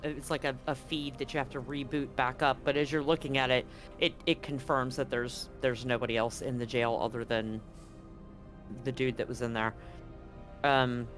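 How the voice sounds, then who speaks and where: A man improvising narration as game master of a tabletop roleplaying game, often pausing to find his words. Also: mid-range voice, fairly bright tone.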